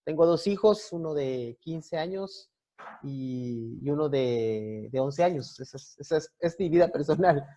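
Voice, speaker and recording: A man in his forties with a Guatemalan accent, a youth pastor explaining things haltingly as an interview guest, on a muffled connection. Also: a little high, lively intonation, dark tone.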